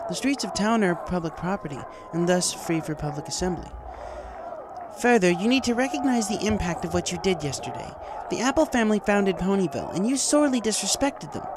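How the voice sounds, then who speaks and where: A man voicing a calm but firm pony princess in a storybook, with a measured, formal, authoritative manner. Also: sits high, background noise, pitched above the performer's own voice. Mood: calm, stern.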